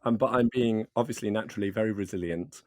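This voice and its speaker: A man co-hosting a podcast with a slight croak from a cold, joking wryly and formally introducing a guest. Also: fairly low pitch, quick pace, darkish tone, no room sound, studio quality. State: hoarse from a cold. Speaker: podcast co-host.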